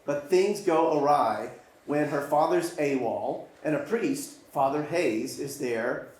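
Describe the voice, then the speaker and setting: A man's voice: a little high, takes it slowly, a little mellow. A man, a screenwriter, giving a prepared live introduction to his script. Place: live stage venue. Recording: very echoey live hall.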